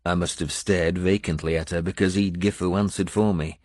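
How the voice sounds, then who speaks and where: A man's voice: low-pitched, steady tone, moderate pace, a little mellow, no room sound, studio quality. A man narrating an adventure story in the first person as a dramatic audiobook.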